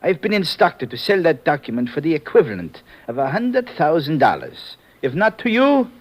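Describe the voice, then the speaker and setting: An actor playing a sly, greedy broker with a German accent in a 1950s radio drama, suave, smug and formal. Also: male voice, on the high side, sing-song, normal speed. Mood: impatient.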